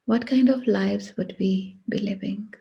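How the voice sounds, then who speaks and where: A woman guiding a meditation in a hushed, breathy voice, speaking deliberately in short phrases with long pauses. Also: mid-range voice, dull audio. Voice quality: breathy, husky. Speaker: meditation guide. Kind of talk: guided meditation.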